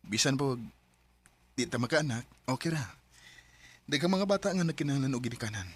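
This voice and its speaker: A man's voice: medium pitch, expressive, fairly quick, slightly rough. A man acting as a devoted, earnest husband in an emotional Bisaya radio drama, with a Cebuano accent. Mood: tender, reassuring.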